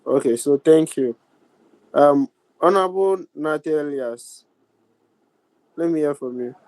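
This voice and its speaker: A man with a Ghanaian accent chairing a youth parliament sitting in a formal, deliberate, halting way on a video call. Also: fairly high pitch, a little mellow, no room sound, rough recording. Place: virtual meeting over video call.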